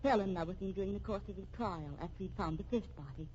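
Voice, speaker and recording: A woman's voice: medium pitch, fast talker, a little mellow, lightly acted. An American actress in a 1950s radio drama playing a tense woman in a deadly confrontation, dramatic and emphatic. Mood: accusing. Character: tense women in a deadly confrontation, accusing and defiant. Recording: dull, noisy 1950s radio recording.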